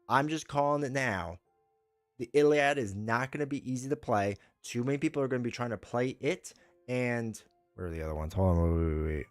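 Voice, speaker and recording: A man's voice: mid-range voice, no echo. A man with a stuffed-up voice from a cold, hosting a casual live stream. He talks in a rambling, opinionated way, often restarting his sentences as he reads and answers chat. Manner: rambling, self-correcting, opinionated. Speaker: streamer host.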